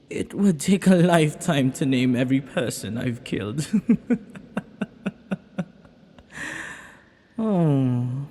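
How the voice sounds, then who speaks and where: A man's voice: mid-range voice, creaky, a little mellow. A man playing an ancient banished villain, a magical being who is flirty, menacing and wistful, in a theatrical, musing audio roleplay monologue. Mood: calmly menacing. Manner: theatrical, musing, unhurried with dramatic pauses. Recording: long echoing reverb.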